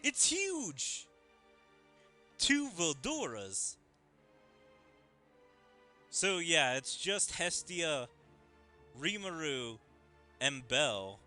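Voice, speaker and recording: A man giving commentary on a game story in an animated way with drawn-out phrasing. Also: a little high, soft background music. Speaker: gaming content creator. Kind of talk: game story commentary and dialogue read-aloud.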